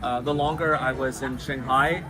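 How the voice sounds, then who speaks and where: A man from the United States, a guest chatting casually in an interview, relaxed and full of fillers. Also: mid-range voice, normal speed, music in the background, poor audio. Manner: relaxed, frequent fillers and laughs.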